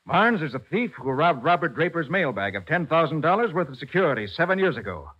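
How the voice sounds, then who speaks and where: Commanding radio detective, lively delivery. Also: male voice, a little high, brisk, husky. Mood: triumphant. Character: brisk, commanding male master detective, cool and sure. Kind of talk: 1940s radio drama acting. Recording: muffled 1940s radio recording.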